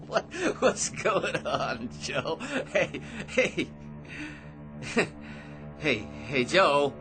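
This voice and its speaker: An actor in a radio drama playing a boring, tipsy barfly who rambles, stammers and chuckles to himself. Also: male voice, on the high side, expressive, drawn-out words, long pauses, over music. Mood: baffled, excited.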